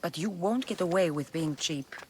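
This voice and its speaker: A woman's voice: fairly low pitch, moderate pace, a little hiss or hum, lightly acted. An actress playing a tough young female mercenary in a video game cutscene, blunt, dry and sardonic. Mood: dry, skeptical.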